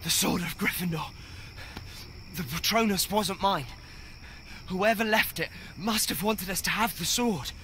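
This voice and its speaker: Slow-spoken, earnest young wizard hero. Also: male voice, sits high, slight background noise. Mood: intense, resolute. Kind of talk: dramatic scripted game acting. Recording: loud music over the dialogue.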